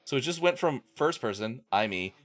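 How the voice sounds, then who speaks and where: Male streamer, mid-pitched, comic dramatic reader with incredulous asides, music underneath. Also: animated, moderate pace, a bit bright. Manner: exasperated, incredulous. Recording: music playing behind the voice.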